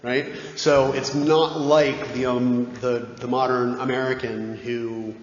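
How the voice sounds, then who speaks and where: A man's voice: medium pitch, level tone, takes it slowly, a little hiss or hum. A man, a parish priest, answering questions in casual talk, often checking in with his listeners. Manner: conversational, keeps checking in with "right?". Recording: echoey dull church hall recording.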